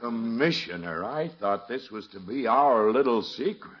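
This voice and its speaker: An actor playing a formal middle-aged small-town police chief in 1950s radio comedy, courteous and earnest. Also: male voice, medium pitch, lively intonation, measured pace. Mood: hesitant. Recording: muffled vintage 1950s radio recording.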